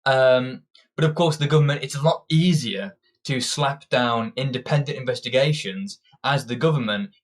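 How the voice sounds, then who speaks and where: A young man giving an opinionated political monologue, combative and ranting, often restarting his sentences. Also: mid-range voice. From Britain. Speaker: political commentator.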